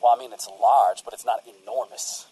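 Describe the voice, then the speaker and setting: Man acting as folksy cult priest, earnest, easygoing, rambling, mellow mid-range voice, radio comedy. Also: mid-range voice, fairly even intonation, brisk, slightly rough, low-quality recording. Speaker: guest on a radio show. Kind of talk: comedic radio-play interview.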